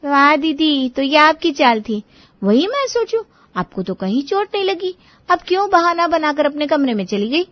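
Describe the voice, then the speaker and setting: A sly young daughter-in-law, put on by a woman storyteller, pleading and complaining in a high, mock-innocent voice. It is a family storybook role, recorded dry and somewhat muffled. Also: sing-song, normal speed, no echo. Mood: accusing. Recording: dull lo-fi recording.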